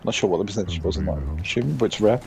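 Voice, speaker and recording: A man's voice: on the low side, racing, gravelly, darkish tone, slight background noise. A man streaming a game, reading its story text aloud and commenting as he goes, acting out the dialogue. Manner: acts out dialogue while reading.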